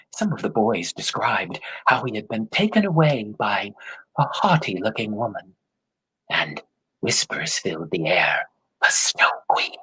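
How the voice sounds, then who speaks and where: A man's voice: mid-range voice, a bit bright, husky, poor audio. A man telling a fairy tale, with playful asides and sound effects. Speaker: storyteller.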